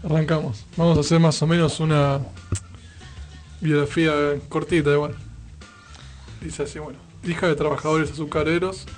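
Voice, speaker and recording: A man's voice: on the high side, little pitch movement, normal speed, long pauses. An Argentine man co-hosting a casual radio show and reading aloud from notes. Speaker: radio co-host. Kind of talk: casual radio talk, reading a short biography. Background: faint music.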